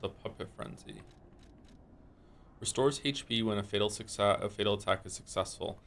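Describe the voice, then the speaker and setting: A man with a slightly croaky voice streaming a video game, thinking aloud and correcting himself as he reads game text and chats. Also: on the low side, moderate pace, a bit bright, a little hiss or hum. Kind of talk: casual gameplay commentary, reading game text aloud.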